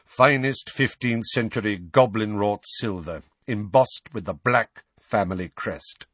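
A man's voice: on the low side, a little slow, a bit bright, breathy edge, no room sound. A man voicing a restless, bitter godfather in a storybook, with a dry, sarcastic, complaining manner. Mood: gloomy, disdainful.

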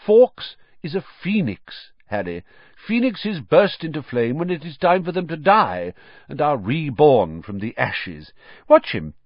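Casting: elderly wizard headmaster played by a male narrator, kindly, measured, widely swooping intonation, dry close recording. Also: fairly high pitch, very animated, no room sound, muffled recording, pitched above the performer's own voice, exaggerated voice. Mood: amused, calm. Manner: measured, gentle, unhurried.

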